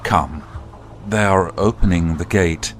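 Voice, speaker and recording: Man as a deep-voiced courtly vampire. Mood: suave, composed. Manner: stately, formal, drawn-out courtesy. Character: courtly, formal male vampire wedding guest. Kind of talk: audio drama acting. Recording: music behind the voice.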